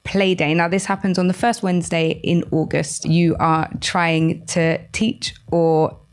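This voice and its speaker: A woman's voice: fairly low pitch, flat delivery, unhurried. A woman presenting an educational radio show as host, addressing listeners directly with questions and prompts.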